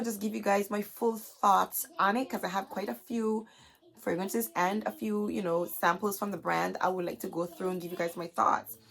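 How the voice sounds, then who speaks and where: A young adult woman with a hoarse, scratchy, chesty voice, chatting casually to camera as a vlogger and filling her talk with "you guys". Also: mid-range voice, fairly bright tone. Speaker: beauty vlogger. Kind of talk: casual haul chat to camera.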